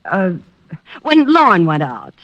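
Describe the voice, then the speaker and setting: Sultry flirty widow, lively swoopy voice, muffled lo-fi radio sound. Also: female voice, on the low side, slow pace, no echo, full character voice. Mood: coy. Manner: coy, teasing replies. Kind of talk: 1950s radio drama acting. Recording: dull lo-fi old radio recording.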